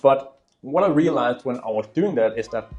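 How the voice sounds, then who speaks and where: A man's voice: medium pitch, animated, moderate pace, a little mellow, lo-fi sound. Young Danish-accented man, music behind. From Denmark. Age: 20s. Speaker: YouTuber and e-commerce entrepreneur. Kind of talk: casual storytelling talk to camera.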